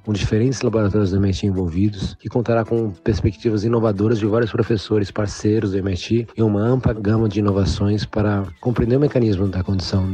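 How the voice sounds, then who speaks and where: Low-voiced man explaining. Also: warm tone. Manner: explanatory, with restarts and tag questions. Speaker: foundation representative. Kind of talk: recorded statement.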